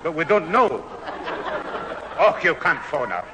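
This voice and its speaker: A troubled old Scottish grandad, firm but kindly, with a fairly high, very expressive male voice. It is old radio sitcom acting, recorded in a reverberant hall. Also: a little slow, crowd noise, background noise. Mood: baffled.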